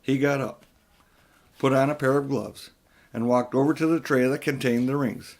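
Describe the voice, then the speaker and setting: A man's voice: mid-range voice, steady tone, normal speed, darkish tone. An older man narrating a children's audiobook, with informal asides to the listener. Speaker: audiobook reader.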